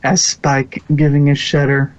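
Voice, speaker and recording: A man's voice: a little high, monotone, unhurried. Man narrating a story, slightly dull sound. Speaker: narrator of a fan-fiction reading.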